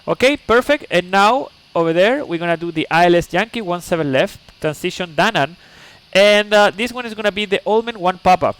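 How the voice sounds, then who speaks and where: A man's voice: high voice, expressive, fairly quick, few pauses, fairly bright tone, clear, resonant tone, rough recording. A man from Chile, speaking English, streams a flight sim live. He explains each step patiently, checks in with "okay?", and chats with viewers. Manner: chatty asides to viewers.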